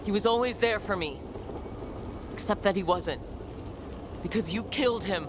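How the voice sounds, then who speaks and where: A man plays a young game hero, earnest and stung, with a high male voice. The delivery is blunt and emotional, cutscene drama through and through. Ambient rumble is clearly heard behind it. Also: normal speed, close to an everyday voice. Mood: hurt, accusing.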